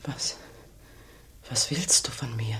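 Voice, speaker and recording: An actress playing a seductive sinner woman with a penitent soul in a German radio play, breathy and intimate in a dramatic delivery. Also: rumbling low, slow pace, bright, forceful tone, in a whisper, full character voice. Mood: frightened, shaken. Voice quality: breathy, husky.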